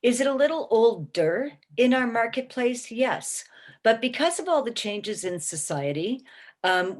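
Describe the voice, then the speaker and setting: Emphatic older woman in her sixties. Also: fairly low pitch. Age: 60s. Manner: emphatic, encouraging, checks in with "okay?". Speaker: panelist, small business owner. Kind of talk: webinar panel answer. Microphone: video call.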